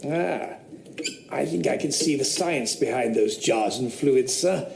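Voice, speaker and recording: An actor playing a witty, sardonic philosopher in an audio drama, teasing and dry. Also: male voice, medium pitch, lively intonation, unhurried, gravelly, darkish tone, echoey room, a little hiss or hum. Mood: wry. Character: witty, mocking man, a London philosopher. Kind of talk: radio drama acting.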